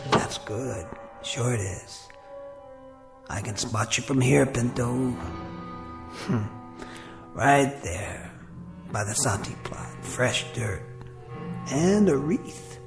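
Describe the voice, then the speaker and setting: An American actor playing a proud, wary gunman with a folksy drawl in an old television western. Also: medium pitch. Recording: vintage TV soundtrack with music underneath.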